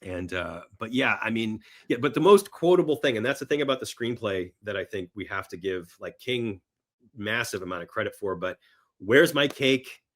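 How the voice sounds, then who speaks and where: A man as a podcast guest chatting casually and enthusiastically about films, telling stories in an animated, emphatic way. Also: lowish voice, normal speed. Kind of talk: enthusiastic casual film chat.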